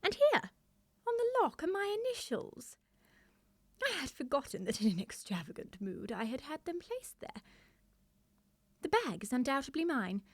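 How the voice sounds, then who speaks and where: A prim, fussy governess in a comic radio play. Her high voice swings widely as she pronounces every formal phrase with flustered melodrama. The sound is close and dry. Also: sing-song, moderate pace, pauses often, dry recording. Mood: pleased, fussy. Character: prim, flustered, unmarried older governess, confessing precisely. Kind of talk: radio play acting, period comedy.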